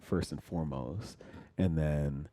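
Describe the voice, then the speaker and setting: A man's voice: very deep voice, slow, warm tone, no room sound. A man hosting a podcast, affirming his guest with frequent "yeah" and asking loose, rambling questions. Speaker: podcast host. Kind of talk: casual interview conversation.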